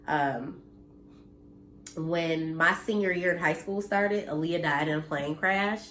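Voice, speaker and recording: A woman's voice: sits low, takes it slowly, a bit bright, roomy sound, slight background noise. Congested, blunt woman in her thirties. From United States. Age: 30s. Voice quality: slightly nasal, congested. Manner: earnest and blunt, mildly preachy, occasional cursing. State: congested from allergies. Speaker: vlogger. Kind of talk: casual direct-to-camera talk. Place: her home, indoors.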